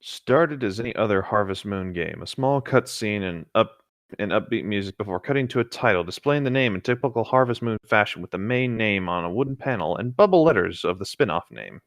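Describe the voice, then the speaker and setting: Male co-host, lowish voice, swooping expressive delivery, playful read-aloud with off-the-cuff banter. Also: a little fast, dry recording, studio quality. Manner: playful, jokey, chatty. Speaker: co-host reading and riffing on internet horror stories.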